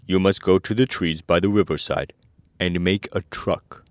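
A low-pitched storybook scarecrow, acted out by a man, eager to help and sure of himself. He talks earnestly and persuasively in a dry, close recording. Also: moderate pace, no echo, natural voice. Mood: confident. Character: eager straw-stuffed scarecrow, male, helpful and confident. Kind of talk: storybook character voice.

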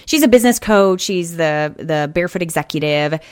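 A woman's voice: on the low side, lively intonation, a little fast, smooth, no room sound, clean studio sound. A woman hosting a podcast interview, talking in a chatty, upbeat and eager way. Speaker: podcast host. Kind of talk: casual interview chat.

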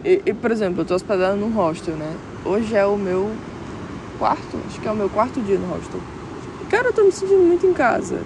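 A woman's voice: mid-range voice, expressive, brisk, noisy background. A young woman vlogging outdoors in a casual, slang-filled reflective chat, speaking directly to her viewers. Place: outdoors on a clifftop by the beach.

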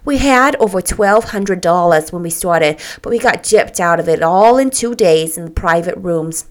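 A woman's voice: on the low side, animated, normal speed, lightly acted. A woman voicing a gossipy, worldly young woman in a storybook, confiding and emphatic as she chats. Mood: chatty, lively.

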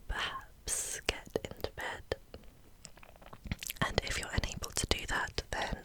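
A woman gently guiding a relaxation as an ASMR host, in a breathy, soothing whisper. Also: poor audio. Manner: gentle, soothing, unhurried instruction.

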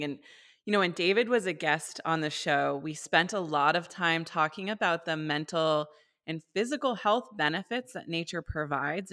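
A woman co-hosting a podcast, asking smooth, reflective questions in a casual chat. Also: lowish voice, fairly bright tone. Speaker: podcast co-host.

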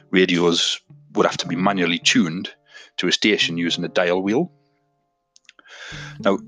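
Deep-voiced man hosting a podcast, crisp forceful tone. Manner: conversational, addresses listeners directly. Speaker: podcast host. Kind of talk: scripted podcast narration with casual asides.

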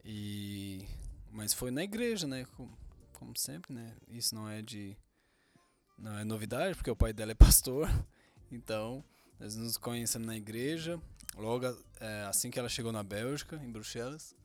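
A young man, co-hosting a casual couple podcast with boastful, deadpan jokes. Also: medium pitch, normal speed, faint music behind. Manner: joking, boastful, deadpan teasing. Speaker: podcast co-host.

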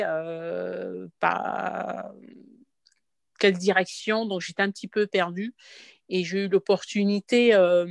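Halting woman in her fifties, dry sound. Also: on the low side, creaky, no room sound. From Morocco. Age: 50s. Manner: halting, with frequent restarts. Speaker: interview guest, tea room owner. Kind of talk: podcast interview.

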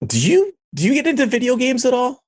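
A man's voice: extremely high pitch, wildly swooping pitch, quick pace, dry recording, studio quality. A man hosting a podcast in casual interview chat, talking like a friendly, enthusiastic fan.

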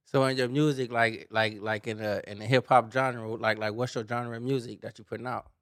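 A man's voice: mid-range voice, steady tone, a little fast, fairly bright tone, no echo, clean studio sound. A man co-hosting a podcast, talking casually in slang and often saying "you know what I'm saying.". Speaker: podcast co-host.

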